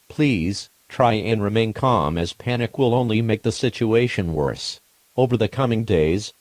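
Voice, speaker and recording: A man voicing a formal emergency alert announcer, reading scripted warnings in a measured, impersonal, official manner. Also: fairly low pitch, a little mellow, no echo, natural voice.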